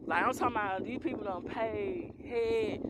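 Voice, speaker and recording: A woman's voice: medium pitch, moderate pace. A young woman from Philadelphia, raised in the US South, with a strong Southern accent. She reads tarot and rants bluntly to camera with plenty of swearing. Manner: blunt, heavy cursing, defiant. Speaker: tarot reader. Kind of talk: casual to-camera rant. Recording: clear background noise.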